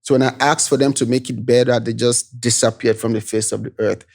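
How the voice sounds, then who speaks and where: A man from Nigeria with a Nigerian accent, a tech founder telling his story as an interview guest in a relaxed, easygoing way that is full of "you know" tags. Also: medium pitch, fairly quick, gravelly. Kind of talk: casual interview chat.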